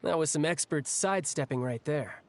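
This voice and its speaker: A man's voice: fairly high pitch, normal speed, a little hiss or hum, close to an everyday voice. A man voicing a friendly, frank, protective young adventurer in a video game, talking in a casual, candid way. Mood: frank, probing. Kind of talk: anime-style video game voice acting. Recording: music faintly behind the voice.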